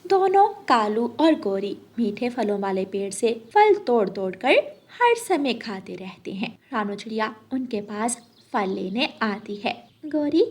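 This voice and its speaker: A woman's voice: on the high side, expressive, a little slow, darkish tone. A woman narrating a scripted children's story as the storyteller.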